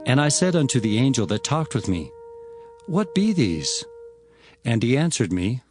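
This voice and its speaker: A man's voice: medium pitch, sing-song, moderate pace, a little mellow, natural voice. A man playing an earnest prophet, declaiming his visions in a dramatized scripture narration. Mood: earnest wonder. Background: faint music.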